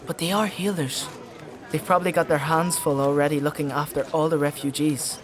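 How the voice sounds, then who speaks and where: High-voiced, grumbling squire played by a man. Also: steady tone, fairly quick, a crowd in the background, lightly acted. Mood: curious. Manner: informal, teasing, complaining. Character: young male squire, grumbling, good-natured, easily flustered. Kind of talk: dark fantasy audio drama acting.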